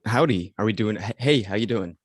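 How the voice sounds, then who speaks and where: A man's voice: on the low side, a little fast, breathy edge, no echo, studio quality. A man chatting casually as a cast member at a table read. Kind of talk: casual chat.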